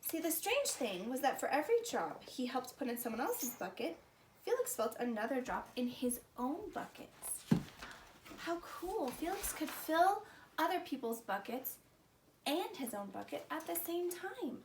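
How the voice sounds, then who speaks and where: A woman hosting a story time for young children, talking in simple, animated, exclaiming phrases. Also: high voice, a little slow. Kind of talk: expressive read-aloud to preschool children. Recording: roomy echo, distant mic, background noise.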